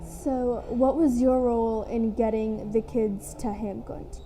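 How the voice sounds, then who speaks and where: A woman's voice: on the high side, fairly even intonation, takes it slowly, mellow, low-quality recording. A woman interviewer asking friendly, encouraging questions.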